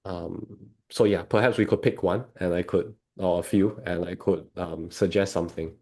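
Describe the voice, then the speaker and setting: A man speaks as an interview guest. He explains things in a measured, conversational way, like a coach, checking in with the listener as he goes. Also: low-pitched, steady tone, a little mellow. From Singapore.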